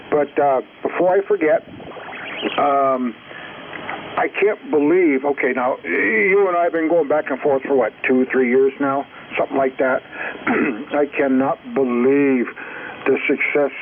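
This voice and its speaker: A man chatting casually and enthusiastically over two-way radio, with a slangy way of talking. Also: mid-range voice. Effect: two-way radio transmission. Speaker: amateur radio operator. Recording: narrow tinny sideband radio, faint static.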